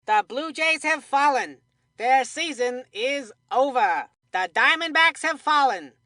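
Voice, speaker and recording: A woman's voice: high-pitched, sharp tone, dry recording, studio quality, exaggerated voice. A woman voicing an upbeat cartoon commentator in a kids' baseball video game, with punchy, scripted sports-announcer delivery.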